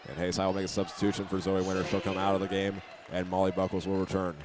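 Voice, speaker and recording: A man giving steady, methodical live play-by-play commentary of a high school basketball game. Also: fast talker. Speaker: play-by-play announcer. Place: indoor school basketball gym. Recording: live gym broadcast with clear background noise.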